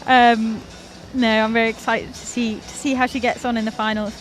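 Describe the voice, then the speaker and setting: A woman's voice: a little high, flat delivery, poor audio. Upbeat woman commentating with music behind. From North Wales. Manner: chatty, enthusiastic, quick to laugh at herself. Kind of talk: live climbing competition commentary.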